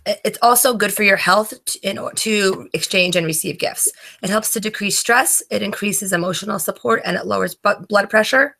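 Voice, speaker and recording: A woman's voice: medium pitch, moderate pace, creaky, hardly any pauses, sharp tone. A woman from New Jersey, a student debater reading a prepared presentation from notes, stumbling and restarting words now and then. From New Jersey, USA.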